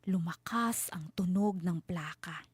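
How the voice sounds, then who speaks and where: A woman storyteller giving a dramatic scripted horror narration with suspenseful, deliberate phrasing. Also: fairly low pitch, husky, no echo.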